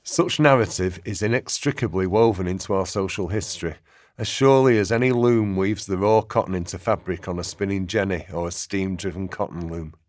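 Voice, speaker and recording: A man's voice: low voice, no room sound, clean studio sound. A man narrating a historical radio play in a scripted documentary style.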